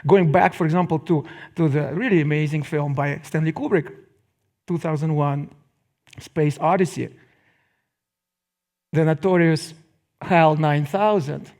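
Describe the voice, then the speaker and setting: A middle-aged man lectures to the public in Russian-accented English. His voice sits a bit higher than most men's. He phrases things deliberately, pausing for effect and adding wry remarks. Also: darkish tone. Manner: deliberate phrasing with dramatic pauses and wry asides.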